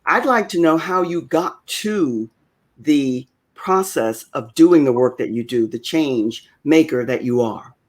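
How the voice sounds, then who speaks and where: A woman's voice: low voice, expressive, a little slow. Affirming woman talk show host. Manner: affirming, interjects short agreements. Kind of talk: conversational interviewing. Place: remote video call.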